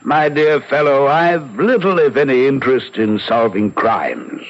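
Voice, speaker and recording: A suave, brilliant French detective in a vintage radio play. His delivery is theatrical and patronizing, swooping widely in pitch, and the recording is slightly dull. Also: lively intonation, measured pace. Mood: aloof. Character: urbane, brilliant, reclusive French gentleman detective. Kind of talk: 1970s radio drama acting.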